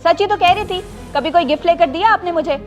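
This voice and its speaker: Actress as a high-pitched, emotional young wife. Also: female voice, quick pace, faint music behind. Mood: upset, angry. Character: emotional, impulsive young wife, defensive and easily swayed. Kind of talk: acted moral-story drama dialogue.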